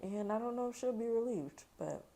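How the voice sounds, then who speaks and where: A woman's voice: fairly high pitch, lively intonation, brisk, mellow, rough recording. Woman reading a children's storybook aloud. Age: adult mother. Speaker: children's book read-aloud host. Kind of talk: animated storybook reading with casual commentary.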